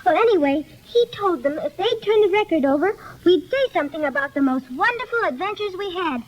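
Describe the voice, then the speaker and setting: Very high-pitched, eager little girl. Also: animated, brisk, highs cut off. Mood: explaining. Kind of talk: 1930s children's radio serial acting.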